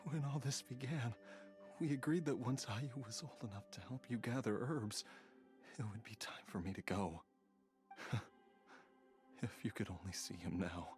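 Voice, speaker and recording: Devoted, tired game father, played by a man: heartfelt, slow delivery, music underneath. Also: medium pitch, a little mellow, close to an everyday voice. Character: weary, devoted husband and father, tender and resigned. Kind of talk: video game voice acting.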